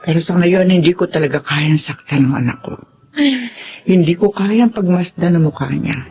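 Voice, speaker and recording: A woman's voice: lowish voice, moderate pace, warm tone, slight background noise, close to an everyday voice. An actress with a Filipino accent playing a loving, protective middle-aged mother who chides and worries, in radio drama style. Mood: sorrowful. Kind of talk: radio drama acting and narration. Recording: dull audio with music behind.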